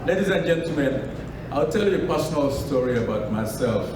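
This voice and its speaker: Echoey Sierra Leonean man speaking from afar. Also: animated. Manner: measured, pausing, drops low at phrase ends. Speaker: author at a book launch. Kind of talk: live storytelling to an audience. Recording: echoey room from a distance with noise.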